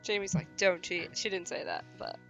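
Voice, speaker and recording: A woman's voice: on the low side, steady tone, moderate pace, creaky, fairly bright tone, faint music behind. A woman hosting a game livestream, chatting casually in slang and reading a visual novel aloud. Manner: playful, slangy, exclaiming. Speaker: livestream host and game player.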